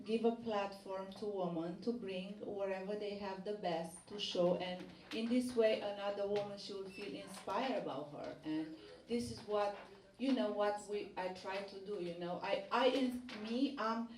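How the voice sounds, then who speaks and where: A woman's voice: mid-range voice, normal speed, a little mellow. A woman from Romania with a Romanian accent, speaking English as her second language as a guest on a talk show, hesitant with many fillers and restarts. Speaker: model and magazine guest. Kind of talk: studio talk show interview. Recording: echoey studio, distant mic, background noise.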